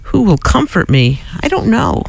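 A man's voice: high voice, normal speed, creaky, no room sound, low-quality recording. A man working as a radio DJ, casually back-announcing songs and tossing in joking asides. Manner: relaxed, joking asides between song credits.

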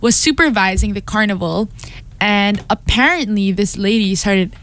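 A woman's voice: medium pitch, normal speed, fairly bright tone. Young Filipina woman telling a story. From Philippines. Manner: rambling storytelling with many likes and fillers. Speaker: podcast co-host. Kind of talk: casual chat with friends.

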